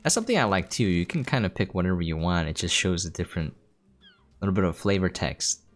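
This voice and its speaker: A woman with a very low-pitched voice hosts a game preview. She gives casual gameplay commentary, with faint music behind her. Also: animated, quick pace.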